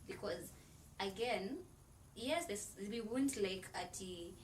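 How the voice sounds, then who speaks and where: A woman's voice: mid-range voice, takes it slowly, distant mic, a little hiss or hum, rough recording. A woman podcast guest in an earnest, preacherly, faith-centered conversation, counting off her points and often filling with "like.". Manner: loose filler-heavy phrasing with frequent "like". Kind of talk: faith-based motivational conversation.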